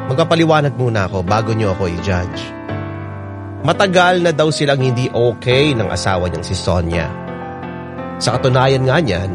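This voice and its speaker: A performer with a Filipino accent voicing a confessional letter-writer telling a love story in a radio drama. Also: male voice, mid-range voice, close to an everyday voice. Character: confessional single mother narrating her love story. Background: guitar music.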